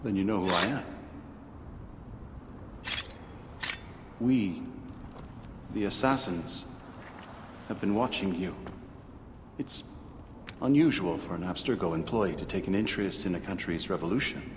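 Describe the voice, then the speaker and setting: An actor playing a calm, dry, persuasive leader of secret assassins in a video game scene. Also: male voice, deep voice, normal speed, long pauses. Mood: composed. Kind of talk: video game cutscene acting. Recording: reverberant, faint ambient noise.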